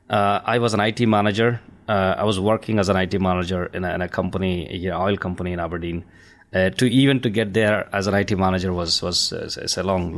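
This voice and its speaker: Deep-voiced Indian man, chatty guest. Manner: chatty, laughs between points, many fillers. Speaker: guest, cybersecurity company founder. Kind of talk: casual podcast interview.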